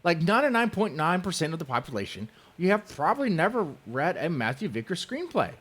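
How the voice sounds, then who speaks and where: A man putting on a high, sneering, aggrieved jeer. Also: sing-song, brisk, a bit bright, husky, exaggerated voice. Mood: mocking.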